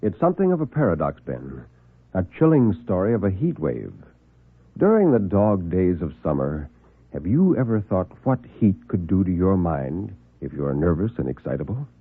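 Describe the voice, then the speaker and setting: A man presenting scripted tales as a radio storyteller, in a deliberate, ominous manner. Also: deep voice, very animated, husky, no echo. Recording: muffled vintage radio recording with music.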